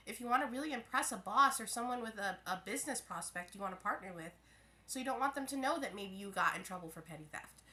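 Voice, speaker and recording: A woman's voice: mid-range voice, pushed and bright. A woman giving a casual tarot reading to her viewers, a little stuffy from a head cold, musing aloud and often restarting her phrases. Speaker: tarot reader.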